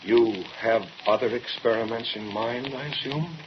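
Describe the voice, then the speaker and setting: An actor playing a grave, accusing moral questioner in an old-time radio drama, with deliberate, probing delivery. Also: male voice, mid-range voice, natural voice. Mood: probing, sardonic. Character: grave, accusing man challenging a scientist, relentless moral questioner. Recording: muffled, very noisy old radio recording.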